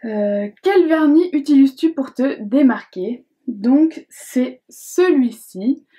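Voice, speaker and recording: A woman's voice: high-pitched, expressive, slow pace. A young adult woman chatting casually to camera as a beauty vlogger, hesitant and chatty, with many fillers and restarts. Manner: reads questions aloud in a brighter voice.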